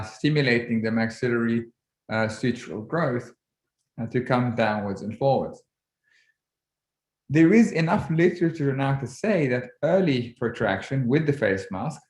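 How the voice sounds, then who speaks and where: A man in his forties, originally from Egypt, giving an orthodontics webinar lecture in a measured way with frequent ums and restarts. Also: mid-range voice. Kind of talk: relaxed presentation over slides. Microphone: computer mic on a video call. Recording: roomy video-call audio.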